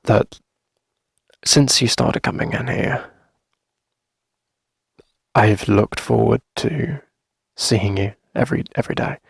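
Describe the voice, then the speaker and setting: Shy, lovestruck male cafe owner played by a man for ASMR roleplay: hesitant, soft-spoken, heavy vocal fry, very breathy husk. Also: on the low side, slow, long pauses, dry recording, exaggerated voice. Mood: nervous, tender. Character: shy, smitten young male coffee shop owner confessing feelings.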